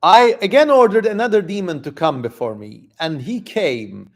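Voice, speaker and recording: A man's voice: sits high, lively intonation, moderate pace, voice pushed up. Man performing a theatrical, commanding ancient king. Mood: dramatic, astonished. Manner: theatrical, declamatory. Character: ancient king narrating, commanding and astonished by demons. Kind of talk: dramatic reading of an ancient text.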